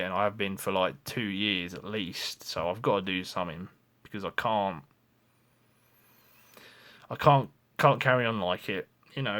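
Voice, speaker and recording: A young man in his twenties speaks straight to camera in a rambling confessional vlog. His voice sits a little low, and he keeps restarting his sentences and swearing as he talks it through. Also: fairly bright tone. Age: 20s. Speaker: vlogger.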